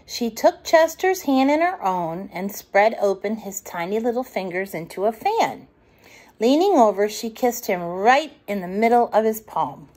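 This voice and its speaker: A woman reading a picture book aloud to young children, gentle and expressive. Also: fairly high pitch, unhurried. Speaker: children's storytime reader.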